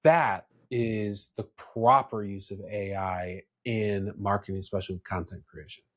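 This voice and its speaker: A man's voice: on the low side, steady tone, measured pace. A man hosting a podcast, talking casually and explaining with personal examples, often repeating words as he goes. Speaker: podcast host.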